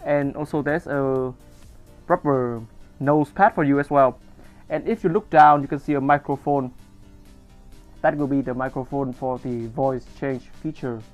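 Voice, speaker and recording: A man's voice: mid-range voice, fairly even intonation, measured pace, a little mellow, muffled recording, faint music behind. A man giving a casual product demonstration as a toy reviewer, explaining things step by step.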